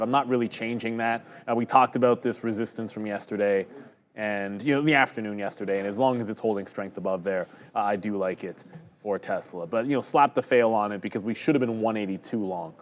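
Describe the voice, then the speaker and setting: A man on a live trading stream, walking through his chart calls in a loose, rambling way. He pokes fun at his own mistakes as he goes. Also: on the low side. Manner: rambling, conversational, self-deprecating. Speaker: day-trading stream host. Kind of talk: live market commentary.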